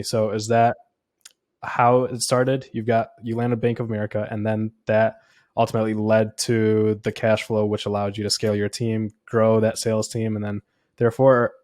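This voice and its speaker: A man's voice: lowish voice, darkish tone, no echo, clean studio sound. A man hosting an interview podcast, introducing his guest and asking questions. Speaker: podcast host.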